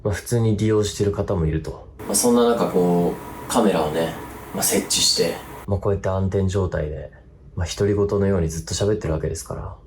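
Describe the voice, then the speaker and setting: A man hosting a ghost-hunting vlog, talking to camera by himself in short, halting fragments. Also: deep voice, darkish tone, slight background noise. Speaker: host of a ghost-hunting video series. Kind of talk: hushed solo vlog narration to camera. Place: indoors, hotel room. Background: music.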